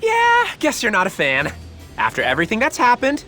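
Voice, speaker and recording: A man playing a smug, excitable scheming scientist villain with a cocky, sarcastic manner in a video game. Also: extremely high pitch, fairly quick, soft background music, exaggerated voice. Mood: flippant. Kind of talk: animated game cutscene acting.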